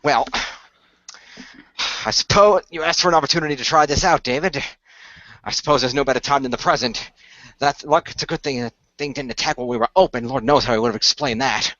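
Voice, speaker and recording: A man playing a composed, knowledgeable leader of a secret hero team, speaking in measured, formal explanations. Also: medium pitch, pushed and bright, no echo, natural voice. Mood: dry, composed. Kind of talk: amateur radio play acting. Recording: dry, slightly muffled home recording.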